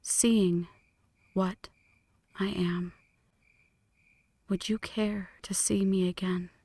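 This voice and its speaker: A woman playing an ancient, lovestruck vampire in a slow, breathy whispered ASMR roleplay, intimate and solemn, close to the microphone. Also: medium pitch, level tone, dark tone, dry recording. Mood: tender, hopeful. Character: ancient flirty female vampire, brooding, lovestruck, world-weary. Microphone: close microphone, dry.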